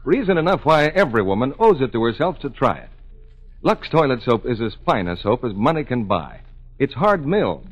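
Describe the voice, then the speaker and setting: A man's voice: medium pitch, very animated, fairly quick, husky, rough recording. An American man announcing in a polished, emphatic 1940s radio style, delivering scripted advertising.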